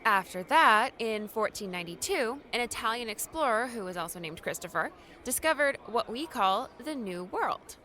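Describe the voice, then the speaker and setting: A playful young girl voiced by a woman for an audiobook story. Her voice is bright and highish, friendly and explanatory, over audible background noise. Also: animated, moderate pace. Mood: chatty, informative. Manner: chatty, explanatory.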